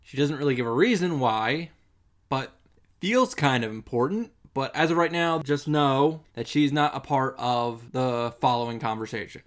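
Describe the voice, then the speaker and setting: A man's voice: medium pitch, moderate pace. A man hosting a visual novel recap, giving casual summary commentary with wry showman asides. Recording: music playing behind the voice.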